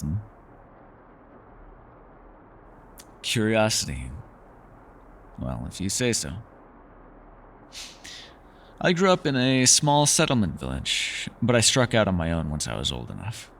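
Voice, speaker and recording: Male actor as ancient hermit elf scholar: low, very expressive, formal, gently teasing, secretive; intimate fantasy roleplay. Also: vocal fry, a little hiss or hum, full character voice. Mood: wry, evasive. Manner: measured, formal phrasing, hesitant and softly teasing. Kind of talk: fantasy ASMR roleplay acting, intimate one-sided dialogue.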